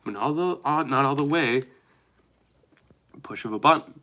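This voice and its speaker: A young man chatting on a live stream, nasal and dry-throated from a cold, rambling and reading viewer messages haltingly. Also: medium pitch, sharp tone. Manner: rambling, chatty, reads chat aloud haltingly. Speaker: livestreamer.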